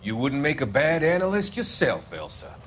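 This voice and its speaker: A man's voice: a little high, wildly swooping pitch, fairly bright tone, a little hiss or hum. Swooping, courteous, sinister male doctor. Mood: genial, probing. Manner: courteous, deliberate, patronizing. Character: smooth, evasive, quietly menacing male psychiatrist. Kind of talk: dramatic video game acting. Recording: background music behind the voice.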